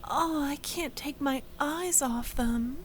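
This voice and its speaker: Shy, smitten childhood friend played by a woman: young, high, breathy, slow-paced, excitable but tender, intimate ASMR roleplay delivery. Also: background noise. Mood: awed, excited. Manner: soft, breathy, often dropping to a whisper. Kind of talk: soft-spoken ASMR audio roleplay.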